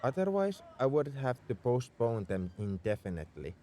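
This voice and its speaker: Male actor as tsundere spaceship mech engineer for roleplay audio: lively, exasperated, scolding, secretly caring, mid-pitched, slight noise behind. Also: moderate pace, natural voice. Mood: gruff, defensive. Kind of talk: ASMR roleplay audio drama.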